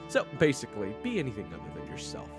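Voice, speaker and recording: A man's voice: medium pitch, wildly swooping pitch, fast talker, faint music behind. A man playing a grumpy, jaded vampire hunter in an audio roleplay, dry, curt and sardonic. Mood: wary, stern.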